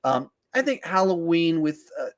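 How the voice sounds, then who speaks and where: A man's voice: a little high, sing-song, normal speed. A man hosting a podcast in a casual film discussion, thinking aloud in a rambling way with repeated words and restarts. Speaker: podcast host.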